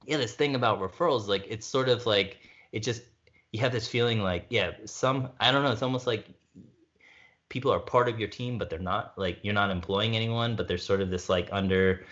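A man with a low voice talks loosely and casually, circling his point with lots of "like"s and restarts. The audio is a bit dull. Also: fairly quick, a bit bright. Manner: rambling, many "like"s and restarts. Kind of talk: casual conversation.

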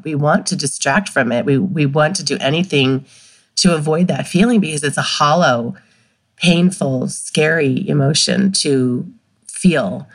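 A woman with a low voice co-hosting a podcast in casual chat. She sounds a little congested and speaks in an earnest, heartfelt way. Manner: emotional, earnest, laughs easily. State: congested from illness. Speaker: podcast co-host, health and wellness coach.

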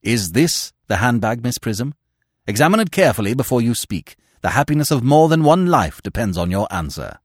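Man playing earnest young gent, insistent, bit raised pitch, lively, dry sound. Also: moderate pace, dry recording. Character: earnest excitable young gentleman.

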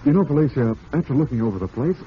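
An actor playing a smooth-talking, falsely cheerful husband with murder in mind, in old-time radio drama style. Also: male voice, medium pitch, animated, very fast, close to an everyday voice. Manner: suave, falsely cheerful, darkly ironic. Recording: dull, noisy vintage radio recording.

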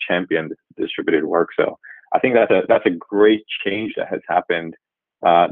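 A man in his forties from Jamaica, a guest speaking in a measured, thoughtful way and often pausing to rephrase. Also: deep voice, no room sound. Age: 40s. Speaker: guest, revenue executive. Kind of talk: reflective interview answers. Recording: tinny phone-like line, dry.

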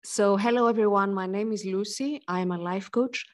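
A woman's voice: mid-range voice, normal speed. A woman from Greece, a life coach, introducing herself as a guest in a friendly way.

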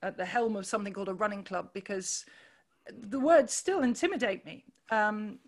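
A woman with a loud voice, talking earnestly in an interview, pausing often with fillers and restarts. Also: medium pitch. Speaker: podcast guest, running club founder. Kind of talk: reflective conversational interview.

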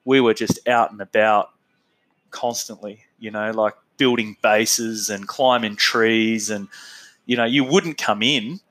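A man's voice: lowish voice, steady tone, measured pace, a bit bright, rough recording. A man with an Australian accent chats loosely as an interview guest. His delivery wanders and is full of fillers, broken up by easy laughter. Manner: laid-back, rambling, laughs often, lots of fillers. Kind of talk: casual interview chat.

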